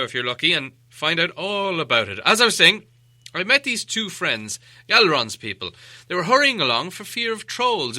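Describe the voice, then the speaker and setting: A man's voice: a little high, sharp tone, no echo, voice pushed up, exaggerated voice. A man voicing a commanding old wizard from a storybook, testy and emphatic, lecturing as he goes. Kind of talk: acted audiobook dialogue.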